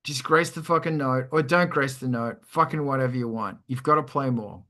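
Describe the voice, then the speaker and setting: A man's voice: medium pitch, a little fast. A man casually teaching music production as a show host, with a sweary, irreverent manner. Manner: sweary, irreverent, animated explaining.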